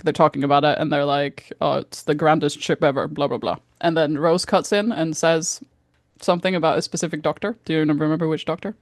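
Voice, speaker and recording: A woman's voice: deep voice, dry recording. Teasing woman, casual and dry-sounding recording. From Norway. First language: Norwegian. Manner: teasing, informal, sweary. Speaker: podcast co-host. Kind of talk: casual chat, hosting a quiz.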